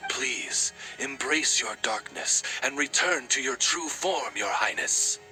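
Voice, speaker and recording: A courtly raven retainer from an anime game, devoted and wise. His mid-pitched voice is crisp and very bright, with formal, eloquent phrasing over soft music. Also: lively intonation, heavily acted, rough recording. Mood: reverent. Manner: formal, eloquent, deliberate addresses. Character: courtly male raven companion, devoted, wise and gentle servant. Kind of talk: scripted anime game voice acting. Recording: music behind the voice.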